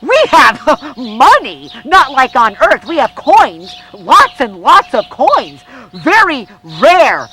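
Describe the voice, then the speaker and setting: Squeaky, slow-talking little alien kid. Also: female voice, big pitch swings, pushed and bright, exaggerated voice. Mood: flustered boasting. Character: naive young alien kid who tells tall tales. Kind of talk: children's show character acting. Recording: distorted VHS transfer with music.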